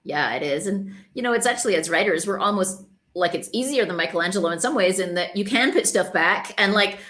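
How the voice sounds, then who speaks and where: A woman's voice: mid-range voice, expressive, a little fast, fairly bright tone. A woman hosting a podcast, interviewing in an informal, chatty and effusive way. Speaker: podcast host and writer. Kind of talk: casual interviewing.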